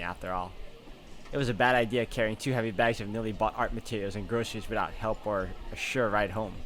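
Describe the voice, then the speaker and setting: A man narrating visual novel text aloud as a let's player, in an expressive storytelling style. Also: fairly low pitch, a little hiss or hum. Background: guitar music.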